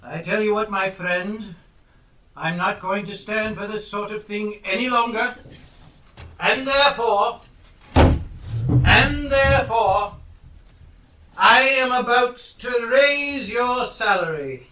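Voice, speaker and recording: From a distant, echoey stage comes a man playing an old reformed miser, high-voiced and effusive. He speaks slowly and stammers, eager to please and overflowing with goodwill, in amateur live theatre. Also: pushed and bright, a little hiss or hum, heavily acted. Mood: mock stern. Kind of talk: amateur stage play acting.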